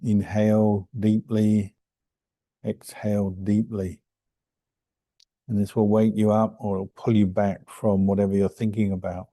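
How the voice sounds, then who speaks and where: Calm guy leading meditation, really dark soft-edged tone, flat and lowish, close dry sound. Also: dry recording. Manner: calm, unhurried, gentle pauses between phrases. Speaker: monk, meditation teacher. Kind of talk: guided meditation instruction.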